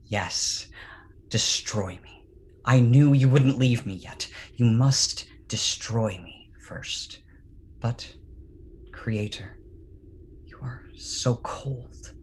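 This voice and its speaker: Slow, tormented creature played by an actor. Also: medium pitch, a little mellow, in a whisper. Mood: hushed longing. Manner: slow, deliberate, imploring. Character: Frankenstein's creature, tormented, pleading, bound to his maker. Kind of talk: dramatic staged reading.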